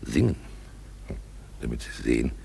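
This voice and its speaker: A man's voice: extremely low pitch, big pitch swings, a little slow, dark tone, exaggerated voice. A man playing a blustering, self-assured simple craftsman who acts as an amateur in a comic German radio drama. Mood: puzzled, defiant. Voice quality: gravelly.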